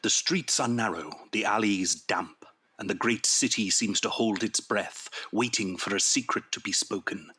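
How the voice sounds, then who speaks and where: A man narrating an audio drama in a solemn, measured storytelling style. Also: lowish voice, sharp tone, husky.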